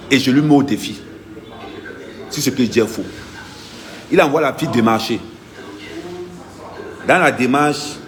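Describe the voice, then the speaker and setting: A man, an activist vlogger giving an insistent, emphatic first-person account and repeating his key points. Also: medium pitch.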